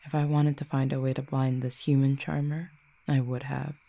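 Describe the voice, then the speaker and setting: An actor as a haughty, creaky-voiced ancient cupid. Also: medium pitch, level tone, dark tone. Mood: cold menace. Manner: haughty, deliberate, menacingly teasing. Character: ancient, possessive, haughty cupid lover with telepathy. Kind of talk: scripted audio roleplay, acted monologue.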